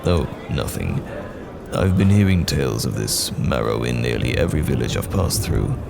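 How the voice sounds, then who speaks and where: A man playing a courteous, earnest wandering knight in fantasy roleplay audio, speaking in a measured, formal, old-fashioned way with a gravelly voice. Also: animated, crowd noise, heavily acted. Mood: measured, musing. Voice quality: gravelly, very deep. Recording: music behind the voice.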